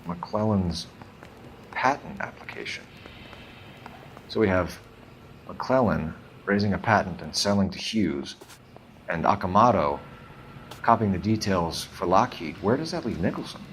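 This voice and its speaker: Driven american detective in a crime game, deep voice, clipped n serious, slight noise. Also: male voice, expressive, a bit bright, rough recording. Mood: methodical. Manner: terse, deliberate. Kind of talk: video game voice acting.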